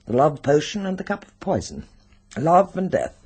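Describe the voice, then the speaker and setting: A British actor playing a sinister, secretive occult expert in a radio drama, speaking deliberately and insinuatingly. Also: male voice, a little high, wildly swooping pitch, a little mellow, husky, full character voice. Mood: musing.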